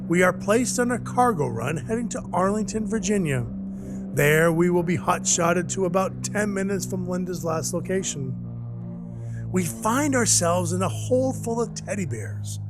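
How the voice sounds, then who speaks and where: A man playing a gruff, soft-hearted dwarf who works as Santa's helper, narrating his own story in an audio play in a wry, hard-boiled way. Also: high voice, animated, moderate pace. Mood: steady, resolved. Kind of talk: first-person audio play narration and dialogue. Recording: music under the voice. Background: music.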